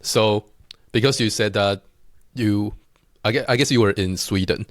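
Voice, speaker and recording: Male interviewer, low voice, dry close sound, short casual questions. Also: unhurried, no echo. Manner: brief, relaxed prompts.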